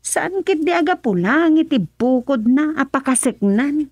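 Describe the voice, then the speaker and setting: A woman's voice: high-pitched, animated, unhurried, music in the background. A woman with an Ilocano accent playing an earnest, opinionated village daughter in a radio drama. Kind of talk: radio drama acting, conversational.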